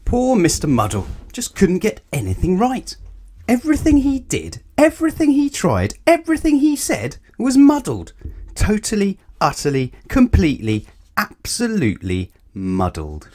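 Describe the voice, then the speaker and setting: A man's voice: high voice, huge pitch swings, moderate pace, darkish tone. A man reading a children's picture book aloud expressively, speaking directly to young listeners. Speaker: storyteller.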